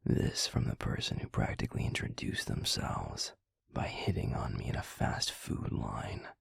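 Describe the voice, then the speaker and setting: A man playing a grumpy, blunt but secretly affectionate werewolf boyfriend in an intimate audio roleplay, in a breathy, gravelly murmur with deadpan delivery. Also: normal speed, no echo, whispered, studio quality, close to an everyday voice. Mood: sardonic teasing. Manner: deadpan, blunt, teasing grumbling. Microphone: close mic, dry.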